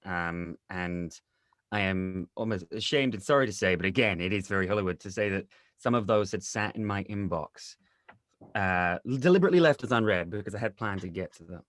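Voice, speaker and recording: Low-pitched man, close dry sound. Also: animated, brisk, a bit bright, dry recording. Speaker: interview guest, audio drama team member. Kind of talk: casual interview anecdote.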